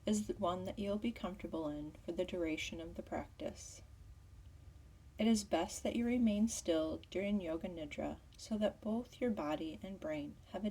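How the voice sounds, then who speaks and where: A woman teaching yoga nidra as a guided meditation, with a soft, breathy voice and soothing, measured instruction. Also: fairly low pitch, far from the mic, poor audio.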